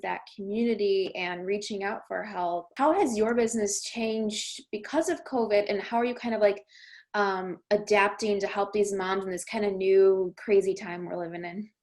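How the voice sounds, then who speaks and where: A woman's voice: medium pitch, steady tone, moderate pace, darkish tone. A woman hosting a podcast interview, talking in an upbeat, chatty way. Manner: upbeat, chatty, many fillers like "like". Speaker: podcast host.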